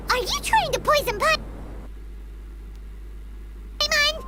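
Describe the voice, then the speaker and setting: A woman voicing a tiny, childlike fairy companion in a video game, whiny and excitable. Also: slow, full character voice. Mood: indignant alarm. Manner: squeaky, whiny, refers to self by name. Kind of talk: video game character voice acting. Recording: loud game music behind the voice.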